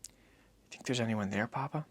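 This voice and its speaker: A man's voice: mid-range voice, moderate pace, slight background noise, natural voice. A man voicing a curious, trusting young boy who asks childlike questions in a dramatic audiobook reading. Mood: uncertain.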